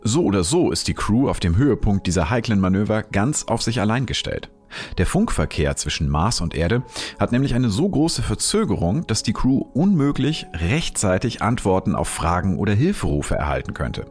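A man narrating a science explainer in a calm, measured, explanatory way. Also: fairly low pitch, faint music behind.

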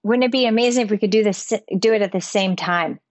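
A woman's voice: mid-range voice, quick pace, no echo. A woman speaking as a podcast guest in casual, reflective conversation.

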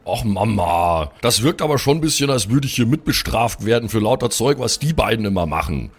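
A man's voice: lowish voice, lively intonation, brisk, breathy edge, faint music behind. A man playing a put-upon, bookish grown son who is a devout fantasy cleric, earnest, whiny and self-justifying, in an improvised audio play. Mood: defensive.

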